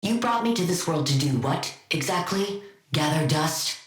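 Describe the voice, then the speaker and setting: A woman playing a cold, sardonic scientist villain in a video game, speaking in a dry, clipped deadpan. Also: low voice, moderate pace, roomy sound. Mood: bitterly sarcastic. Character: cold, sardonic female genius scientist villain devoted to her wife.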